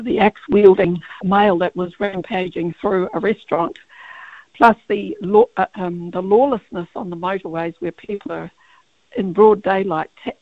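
A woman with a croaky, gravelly voice, a barrister speaking as a guest on a radio interview over the phone, halting with many fillers and restarts. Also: medium pitch, no echo. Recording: tinny phone line.

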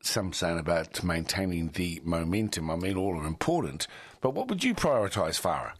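Deep-voiced New radio host. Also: male voice, big pitch swings, breathy edge, no room sound. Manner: measured, hesitant questioning. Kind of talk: radio interview.

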